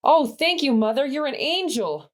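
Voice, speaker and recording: An actress playing an eager, huffy teenage daughter with an American accent in an old-time radio sitcom. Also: female voice, sits high, very animated, normal speed. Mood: pleading, eager. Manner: pleading, gushing.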